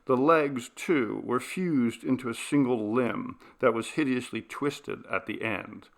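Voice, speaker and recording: A man reading a horror story aloud as narrator, in a deliberate, dramatic audiobook style. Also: mid-range voice, gravelly.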